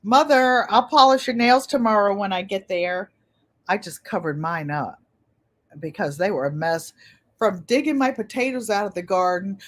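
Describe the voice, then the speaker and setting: Gentle-voiced woman in her seventies. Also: medium pitch, normal speed. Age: 70s. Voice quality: gentle, pleasant voice. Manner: chatty, rambling, repeats phrases. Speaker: channel host. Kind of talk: casual livestream chat.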